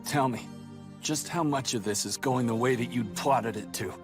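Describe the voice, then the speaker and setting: An actor playing an angry, impatient young ninja in an anime dub, speaking in a confrontational way. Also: male voice, medium pitch, moderate pace, close to an everyday voice. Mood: angry, demanding. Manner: confrontational, heated. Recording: music over the voice.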